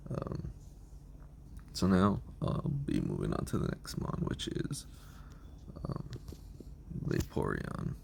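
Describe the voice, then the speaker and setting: A hoarse man with a raspy voice that is giving out, giving a casual, rambling breakdown of his team with lots of ums and restarts. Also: rumbling low, wildly swooping pitch, slow, long pauses, very noisy. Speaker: video creator explaining his team.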